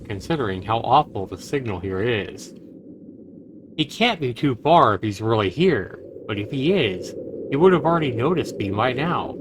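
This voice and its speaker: A man livestreaming, reading game text aloud like a dramatic storyteller, with casual asides. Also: medium pitch. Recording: clear background noise on stream.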